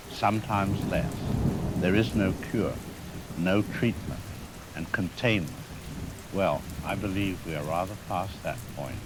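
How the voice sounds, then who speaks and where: An actor playing a grave, erudite virologist in a radio drama, speaking formally and deliberately with weighty pauses and cold fatalism. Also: male voice, low-pitched. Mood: cold, grim. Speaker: expert guest. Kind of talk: scripted radio drama interview. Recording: hiss, rain and thunder noise. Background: rain, thunder, hiss.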